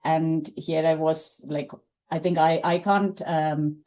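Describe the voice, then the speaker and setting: A woman's voice: low-pitched, fairly even intonation, slow pace, dark tone, low-quality recording. A middle-aged woman whose first language is Tamil, a podcast guest thoughtfully telling her own career story, with frequent fillers and restarts. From India. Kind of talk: reflective interview storytelling.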